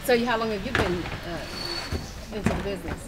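A woman's voice: medium pitch, normal speed, heavy background noise. A woman hosting an upbeat on-location interview, often echoing and repeating phrases, recorded in a large indoor hall. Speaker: interviewer, show host.

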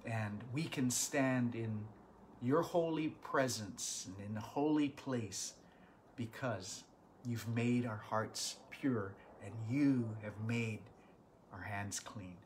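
Man, 50s, pastor, slow deliberate teaching, mid-pitched voice, webcam at home. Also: a little hiss or hum. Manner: earnest, animated hand gestures, bursts of emphasis. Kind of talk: livestreamed Bible study teaching. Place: small living room at home.

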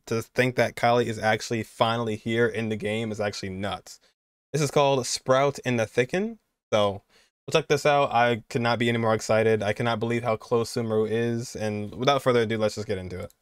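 A man's voice: medium pitch, fairly quick, clear, resonant tone, no room sound, studio quality. A man, giving casual, enthusiastic reaction commentary in an informal way. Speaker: reaction video host.

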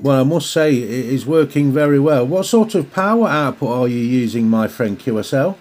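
A man's voice: medium pitch, lively intonation, normal speed, a little mellow, slight background noise. A man chatting as an amateur radio operator, courteous and using radio procedure phrases. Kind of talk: two-way radio contact chat.

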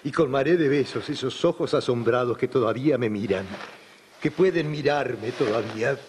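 A middle-aged Argentine actor playing an anguished, adoring lover in an impassioned, melodramatic radio soap opera style. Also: male voice, medium pitch, moderate pace, darkish tone, slight background noise, close to an everyday voice. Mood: ardent. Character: anguished, adoring male lover, tender and feverish. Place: TV studio radio-play stage. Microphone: large vintage studio microphone. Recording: reverberant studio sound over music.

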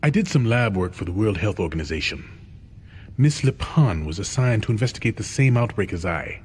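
A man's voice: lowish voice, lively intonation, fairly quick, husky. A man playing a grave, dignified scientist giving testimony in a video game cutscene.

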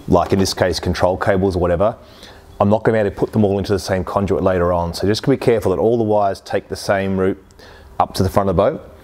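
Low-voiced man explaining a practical job. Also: a little mellow. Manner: plain, practical, step-by-step explaining. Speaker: host of boat repair channel. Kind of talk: how-to demonstration, explaining steps. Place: workshop garage, indoors.

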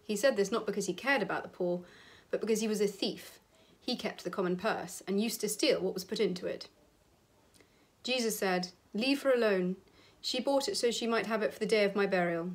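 Woman giving a measured scripture reading. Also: medium pitch. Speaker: scripture reader. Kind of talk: solemn scripted Bible reading. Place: indoor room with wood panelling.

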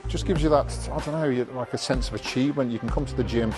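A man in his fifties coaching a workout as a personal trainer in a gym, talking in a calm, steady, instructive way on a lapel mic. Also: mid-range voice, fast talker. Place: indoor gym. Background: background music.

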